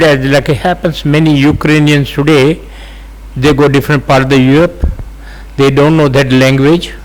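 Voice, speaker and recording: A man in his eighties, native Sindhi speaker with an Indian accent, telling his life story as an interview guest, halting with many fillers and gentle chuckles. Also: fairly high pitch, poor audio. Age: 80s.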